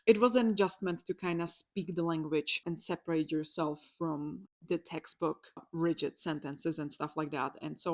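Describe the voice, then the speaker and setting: A young woman from the Czech Republic with a Czech accent, an electrologist telling her personal story in a chatty, candid vlog. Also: sits low. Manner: chatty, candid, with fillers and restarts.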